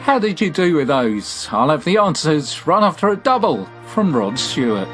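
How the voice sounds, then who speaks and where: A man's voice: a little high, very animated, normal speed. A man presenting a music radio show, reading playful scripted links between songs and quiz clips.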